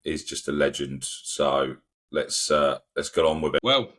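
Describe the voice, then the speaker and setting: A man's voice: rumbling low, steady tone, measured pace, fairly bright tone. A man hosting a rugby podcast in an informal, chatty way with many fillers. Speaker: podcast host. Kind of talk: podcast interview.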